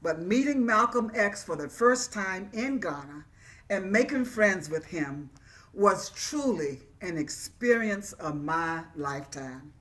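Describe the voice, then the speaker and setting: Woman playing a slow, reflective poet and activist. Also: mid-range voice, lively intonation, fairly bright tone. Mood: grateful. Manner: measured, earnest storytelling. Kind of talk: dramatic reading of a monologue.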